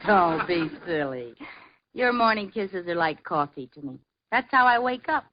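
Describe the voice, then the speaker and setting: Brief: bubbly teasing sitcom wife played by an actress, lively swooping pitch, old hissy radio tape. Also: female voice, lo-fi sound, lightly acted. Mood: affectionate. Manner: teasing, bubbly, quick comic timing. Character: witty playful young housewife. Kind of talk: 1940s radio comedy acting.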